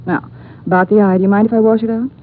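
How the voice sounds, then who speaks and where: A woman's voice: mid-range voice, fairly even intonation, very fast, natural voice. A woman playing a playfully stern young nurse in a 1950s radio drama. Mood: teasing. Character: teasing, no-nonsense young female nurse. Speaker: registered nurse. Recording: tinny old radio recording.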